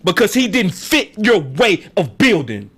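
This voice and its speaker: A hoarse, raspy-voiced man hosting a sports call-in show, talking in an emphatic, slangy, animated way. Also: high-pitched, unhurried. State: hoarse, voice going in and out. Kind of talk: passionate sports commentary to callers and viewers.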